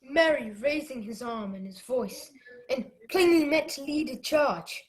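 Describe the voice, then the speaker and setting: A woman's voice: high voice, animated, a little slow, low-quality recording. A woman playing the earnest narrator of an adventure radio play, telling it as a dramatic memory. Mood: tense. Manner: dramatic storytelling.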